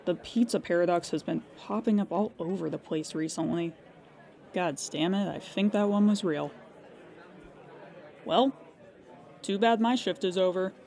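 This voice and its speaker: A woman's voice: fairly low pitch, unhurried, gravelly, long pauses, dark tone, a faint crowd. A woman playing a weary, sarcastic emergency hotline operator in an audio drama, with a dry, clipped official manner and plain exasperation. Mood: fed up.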